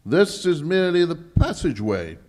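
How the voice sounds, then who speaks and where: Guy doing a pompous english lord for a radio mystery, kinda high voice, big dramatic swings. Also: very animated, moderate pace, darkish tone. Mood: formal, welcoming. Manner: formal, emphatic, declamatory. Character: pompous English lord guarding the nation's gold vault. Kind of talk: old-time radio drama acting on stage. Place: live stage performance at a conference.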